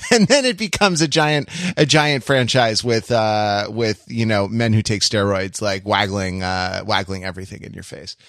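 A man co-hosting a podcast, talking casually and wryly about movies with halting phrasing. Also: lowish voice, fairly bright tone, no room sound, clean studio sound. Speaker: podcast host.

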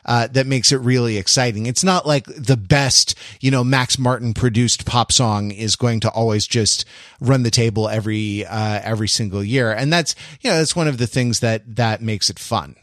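Male podcast host, mid-pitched, dry close sound. Conversational, wordy, often rephrasing as he explains. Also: moderate pace, a bit bright, no room sound. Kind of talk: casual pop-culture discussion.

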